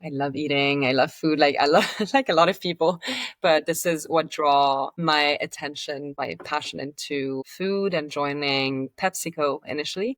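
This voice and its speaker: A young woman, answering interview questions with enthusiasm, in quick bursts with fillers and restarts. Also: low-pitched, no echo. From Switzerland. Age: 20s. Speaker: startup founder, guest.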